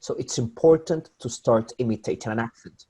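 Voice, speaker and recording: A man's voice: medium pitch, normal speed, dark tone. A man with a Spanish accent, a native Spanish speaker, explaining pronunciation tips to his English students in a class. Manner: explanatory, addresses students directly, many restarts. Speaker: English teacher. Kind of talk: online class lecture.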